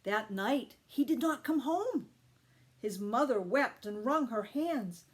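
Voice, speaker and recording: A woman reading a children's story aloud with expressive, deliberate emphasis. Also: fairly high pitch. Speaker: storytime reader, teacher.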